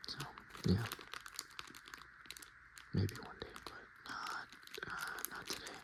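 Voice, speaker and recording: A man softly murmuring and whispering in a casual, rambling ASMR style as he hosts his video. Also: slow. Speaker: ASMR video creator and host.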